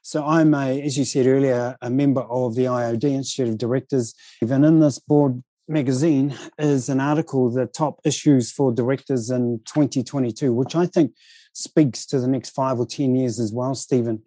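New Zealand man with a very mellow voice. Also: medium pitch, steady tone, moderate pace, no echo. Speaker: interview guest, board chair and speaker. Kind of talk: considered interview conversation.

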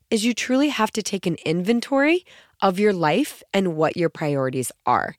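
A woman's voice: on the low side, dry recording, clean studio sound. Stuffy-nosed, scratchy-voiced woman podcaster. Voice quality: scratchy, gruff. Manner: earnest, encouraging. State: stuffy nose from a cold. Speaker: podcast host. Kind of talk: motivational podcast talk.

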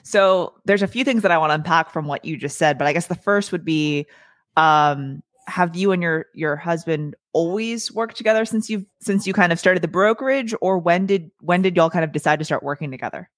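A woman's voice: on the low side, a little fast, dry recording, studio quality. Upbeat woman podcast host. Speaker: podcast host, real estate agent. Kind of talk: casual podcast interview.

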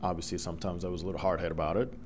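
A middle-aged man from the Dominican Republic whose first language is Spanish, a broadcaster telling lively stories as a guest in an interview. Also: low voice, racing, a little hiss or hum. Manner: animated storyteller, acts out quoted voices. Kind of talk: storytelling interview.

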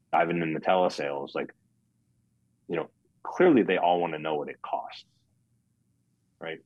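Deep monotone guy explaining his sales process, dry close sound, kinda lo-fi. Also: husky, no echo. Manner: monotone, says so himself; plainspoken, explains step by step with frequent "right?". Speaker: life insurance agent, podcast guest. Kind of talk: casual interview talk.

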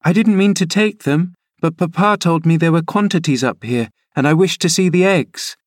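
A man reading an audiobook, voicing a headstrong, eager teenage girl in a raised, bright voice. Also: a little high, normal speed, dry recording, studio quality, voice pushed up, close to an everyday voice. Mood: indignant.

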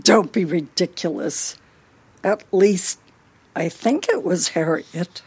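An actress with an American accent playing a bossy, forgetful, scolding older wife in a radio play. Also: female voice, lowish voice, takes it slowly, a little mellow, breathy edge. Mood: exasperated, scolding. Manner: scolding, fussy.

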